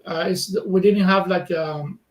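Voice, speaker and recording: A man from Cuba, a native Spanish speaker, answering interview questions in English with many pauses and fillers as he searches for words. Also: high-pitched, steady tone, echoey room. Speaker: magazine editor, interview guest. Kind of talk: casual interview.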